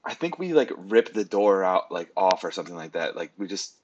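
A man's voice: sits low, very animated, fairly quick, dull audio. A man guesting on a podcast, hesitantly piecing together a memory in casual chat.

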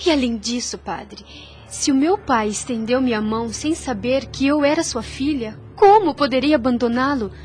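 A woman's voice: sits high, moderate pace, darkish tone, lightly acted. A woman playing a devout, self-sacrificing young woman in a radio soap opera, with earnest, formal, literary delivery. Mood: resolute, pious. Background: music under the voice.

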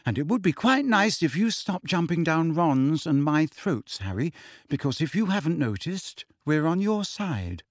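Man voicing a bossy, earnest teenage schoolgirl, pitched up. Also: very animated, measured pace, no room sound, clean studio sound. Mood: indignant, dignified. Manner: crisp, insistent, dignified.

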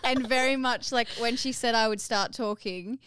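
A woman's voice: on the high side, steady tone, moderate pace, fairly bright tone, smooth, no room sound. A young woman co-hosting a podcast, chatting candidly and telling a personal story. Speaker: podcast co-host. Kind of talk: casual podcast chat.

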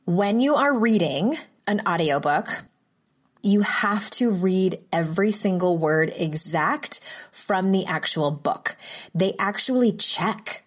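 A woman's voice: mid-range voice, expressive, takes it slowly. A woman hosting her own podcast, chatting casually and self-deprecatingly into a home microphone, laughing often. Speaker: podcast host. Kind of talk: casual solo podcast monologue.

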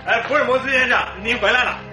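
An actor playing an anxious, impatient man of about thirty in a radio drama, stammering in a strained, sharp voice. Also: very high-pitched, fairly even intonation, fast talker, over loud music. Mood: flustered, anxious. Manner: agitated, stammering, hesitant. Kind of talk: Chinese radio drama acting.

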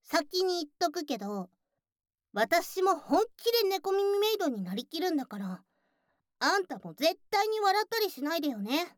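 A voice actress playing a curt, teasing young childhood friend who works as a cafe maid, in an ASMR audio drama. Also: female voice, very high voice, very animated, normal speed, no echo, clean studio sound. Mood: teasing, flustered. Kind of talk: Japanese ASMR situation voice drama.

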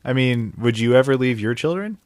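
A man co-hosting an improvised comedy podcast, talking in a hesitant, halting, deadpan way. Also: medium pitch. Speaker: podcast co-host.

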